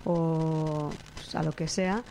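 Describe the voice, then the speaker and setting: A woman hosting a radio talk show, lively and chatty, with quick agreeing interjections. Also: low voice, a little hiss or hum. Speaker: radio host.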